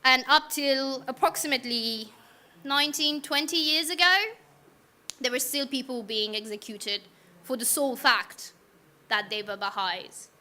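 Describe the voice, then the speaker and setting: A woman's voice: sits high, a little slow, bright, forceful tone. A young woman from Iran telling her personal story to a live audience in a frank, wry, casual way, asking the room questions.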